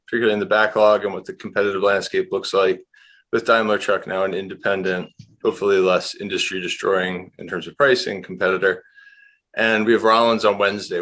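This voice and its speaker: A man's voice: lowish voice, a bit bright. A man working as an industrials analyst, giving conversational market commentary in a rambling, candid way with dry asides.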